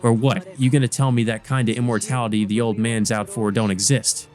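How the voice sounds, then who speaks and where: A brash, foul-mouthed enforcer from a visual novel, voiced by a man talks with crude swagger. The voice is mid-pitched, aggressive and mocking, over game music. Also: flat delivery, fairly quick, a little mellow, lightly acted.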